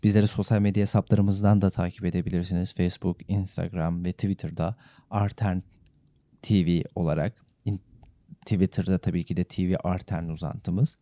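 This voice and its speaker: A man's voice: low-pitched, flat delivery, normal speed, mellow, no room sound. A man co-hosting a casual radio-style talk podcast, speaking with courteous, playfully formal phrasing. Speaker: podcast co-host.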